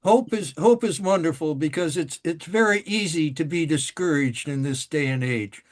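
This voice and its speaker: A man's voice: fairly high pitch, normal speed. A man moderating a group discussion, stammering at the start of his turns and calling on the next speaker.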